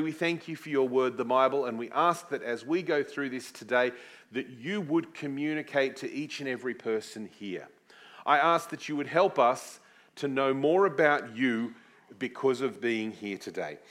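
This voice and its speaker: A man's voice: mid-range voice, normal speed. A man preaching a teaching sermon. He explains scripture in a chatty way, with asides that poke fun at himself. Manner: animated, swooping emphasis on key words; chatty, with self-deprecating asides. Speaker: preacher teaching a series on a Bible book. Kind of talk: sermon with Bible teaching and prayer.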